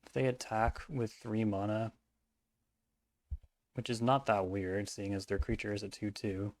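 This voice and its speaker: A man casually commentating his own card game match, thinking aloud in mumbled asides, his voice hoarse and cracking at times. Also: lowish voice, flat delivery, measured pace, dry recording.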